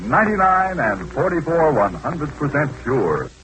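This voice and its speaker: A man's voice: a little high, big pitch swings, unhurried. A man announcing in a scripted 1940s radio style, as a programme announcer. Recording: noisy old radio recording.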